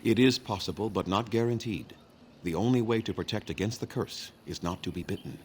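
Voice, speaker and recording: A man voices a grave elven clan leader from a fantasy game, with a very low voice and formal, measured speech. He sounds weary and solemn, with clear outdoor ambient noise around him. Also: lightly acted. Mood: solemn warning. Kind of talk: scripted video game dialogue.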